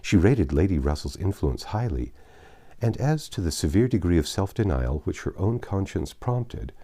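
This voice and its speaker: A man narrating a classic novel as an audiobook, in a measured, formal storytelling manner. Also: sits low, big pitch swings, mellow, slightly rough.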